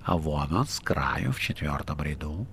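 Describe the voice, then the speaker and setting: Picture a cheeky, fanged red-haired rogue from an audiobook, as a male reader puts him on, sly and rude with a deep, low voice. He speaks in an offhand way, and there is faint hiss behind him. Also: sing-song, moderate pace, fairly bright tone, full character voice. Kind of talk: audiobook character reading.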